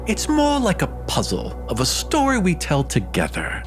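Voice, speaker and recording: A man's voice: sits high, wildly swooping pitch, moderate pace, faint music behind, voice pushed up. A man voicing a courtly, eager, blue-scaled male mage who talks in a florid, polite, theatrical way. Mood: courteous, eager.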